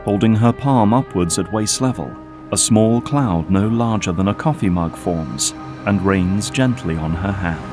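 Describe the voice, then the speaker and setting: A male narrator reads scripted audio drama prose. His voice is on the low side. Music runs clearly behind him. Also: measured pace. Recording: prominent music under narration.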